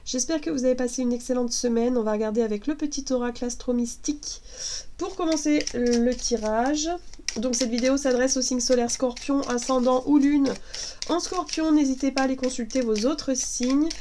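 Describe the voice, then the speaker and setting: A woman with a congested head cold gives a casual tarot reading, gentle and reassuring, hesitating often with fillers and restarts. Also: high voice, moderate pace, a little hiss or hum. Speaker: tarot card reader.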